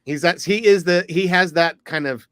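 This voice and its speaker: A man's voice: high-pitched, a bit bright, smooth, dry recording, clean studio sound. Rambling middle-aged man from Philly. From Philadelphia. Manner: rambling storyteller, quick asides. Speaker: podcast co-host. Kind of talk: casual podcast chat.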